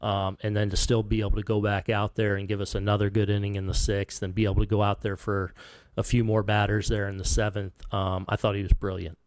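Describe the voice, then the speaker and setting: A man in his fifties, a baseball manager at a postgame press conference, answering in a halting, soft-spoken way with many restarts, sounding heartbroken. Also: lowish voice, flat delivery, no echo. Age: 50s. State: heartbroken, subdued. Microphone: table microphone.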